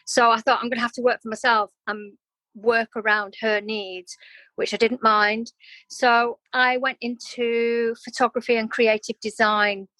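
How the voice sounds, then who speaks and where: A woman's voice: on the high side, little pitch movement, unhurried, pushed and bright, dry recording, poor audio. A middle-aged woman with a Yorkshire accent touched by Welsh, chatting openly and expressively as an interview guest. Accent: Yorkshire with some Welsh influence. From Yorkshire and North Wales, UK. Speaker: interview guest, creative business owner. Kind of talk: conversational personal storytelling.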